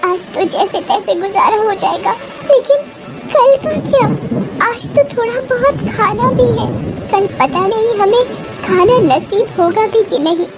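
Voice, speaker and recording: Anxious, pleading young village wife for a moral tale, female performer, respectful, plaintive, very high pitch, music beneath. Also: expressive, a little slow, poor audio. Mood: plaintive despair. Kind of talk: moral-story drama.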